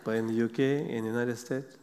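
A middle-aged man, a Buddhist monk on a stage panel, speaking halting non-native English with frequent pauses and fillers. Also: medium pitch, little pitch movement, a little mellow. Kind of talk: unscripted panel talk. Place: seated on a large theater stage. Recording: long hall reverb.

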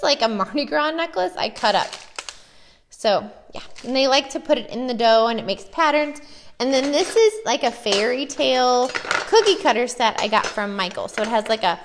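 A woman's voice: fairly high pitch, slight background noise. An American woman, a preschool teacher, chatting enthusiastically and casually as she shows classroom activities.

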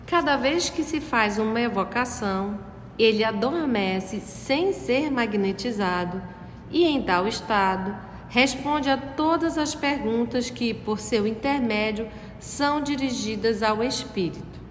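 A woman's voice: a little high, lively intonation, measured pace, slight background noise. Woman reading aloud in an echoey room. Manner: reads a text aloud, stops to explain. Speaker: study group reader. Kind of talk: reading aloud and commenting in a study group. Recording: echoey room with long reverb.

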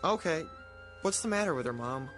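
An actor playing a bashful teenage nephew in a radio drama, awkward, hesitant and eager. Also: male voice, on the high side, expressive, brisk, music in the background, natural voice. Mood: casual. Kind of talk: modern radio drama acting.

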